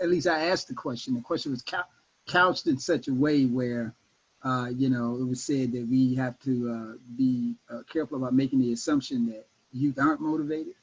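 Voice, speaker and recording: Husky, hesitant man on dull call. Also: mid-range voice, darkish tone. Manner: hesitant, roundabout phrasing. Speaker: discussion participant. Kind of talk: reporting back in a group discussion. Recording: dull lo-fi online call audio.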